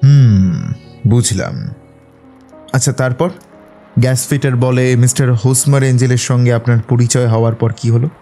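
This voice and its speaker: An actor playing a composed detective, asking measured, inquisitive questions in an audio drama. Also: male voice, mid-range voice, dark tone, soft background music, natural voice. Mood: probing. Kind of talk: dramatised audio story acting.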